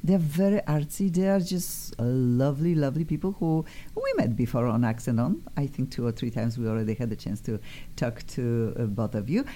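A woman's voice: extremely low pitch, lively intonation, normal speed, few pauses, mellow, slight background noise. A woman hosting a studio talk show, chatty and effusive, often restarting her sentences. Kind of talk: lively studio chat.

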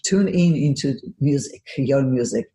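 A woman's voice: a bass voice, unhurried, dark tone, roomy sound. Effusive Polish woman hosting radio. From Poland. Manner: effusive, with frequent laughs and some broken English. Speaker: radio show host. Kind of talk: casual radio interview. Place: radio studio.

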